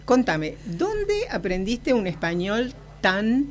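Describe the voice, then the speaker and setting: A woman's voice: medium pitch, sing-song. Curious Rioplatense woman interviewer. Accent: Rioplatense Spanish. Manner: curious, quick follow-up questions. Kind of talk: casual interview.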